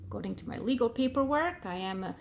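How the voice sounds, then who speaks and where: A woman in her thirties whose first language is Portuguese, speaking English with an accent in a casual vlog, pausing often with ums as she searches for words. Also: a little high, lively intonation, vocal fry, a little hiss or hum. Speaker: vlogger. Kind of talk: casual talking-to-camera vlog.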